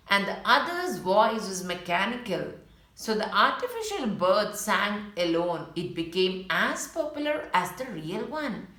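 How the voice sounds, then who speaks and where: A woman's voice: mid-range voice, sharp tone. A woman reading a children's picture book aloud as a storyteller, adding short asides about the pictures. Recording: roomy, echoing indoor sound.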